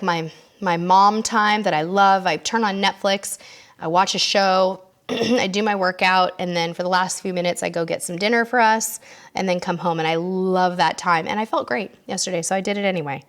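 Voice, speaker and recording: A middle-aged woman hosting a casual live stream, chatty with her viewers, her voice hoarse and worn from losing it, often clearing her throat. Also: mid-range voice, steady tone, moderate pace. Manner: chatty, warm toward viewers, reads out comments. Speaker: cruise show host.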